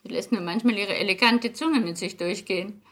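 An actress playing a kindly, gossipy older society hostess in a 1960s German radio play, genteel and effusive. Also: female voice, medium pitch, a little fast, close to an everyday voice. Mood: chatty, genteel.

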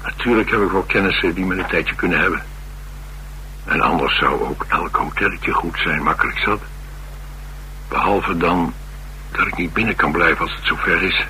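An actor with a Netherlands Dutch accent playing a troubled, blunt ex-soldier and restless drifter in a radio drama, wry and halting in delivery. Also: male voice, deep voice, bright, forceful tone, poor audio. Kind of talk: radio drama dialogue acting. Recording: radio drama with clear hiss and hum.

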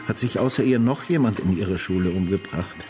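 An actor playing an older retired homicide detective in a German radio drama, questioning in a measured, matter-of-fact, insistent way. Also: male voice, low-pitched, darkish tone, soft background music, close to an everyday voice. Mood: probing, calm. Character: retired male homicide detective, calm, persistent, probing.

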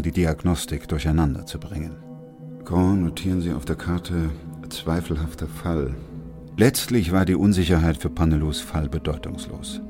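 An actor playing a grave, composed doctor who narrates a chronicle in a radio play, sober and measured. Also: male voice, low-pitched, lightly acted.

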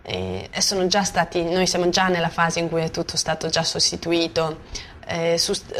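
A woman's voice: lowish voice, vocal fry, a little hiss or hum. A woman giving spontaneous, interview-style commentary, searching for her words as she goes.

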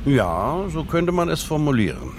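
An actor playing a wry, amused investigator in a German radio drama, speaking in an urbane, ironic way. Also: male voice, a little high, huge pitch swings, moderate pace, darkish tone, slight background noise. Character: wry, amused male investigator summing up a case.

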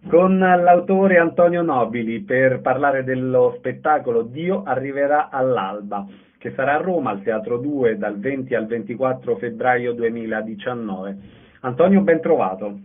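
A man's voice: mid-range voice, moderate pace. A man hosting a radio interview, asking questions in a presenter's role. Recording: dull lo-fi radio sound.

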